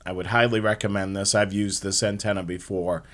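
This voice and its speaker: A man's voice: low voice, level tone, brisk. A man hosting a live hobby show, explaining things step by step in an informal slideshow presentation.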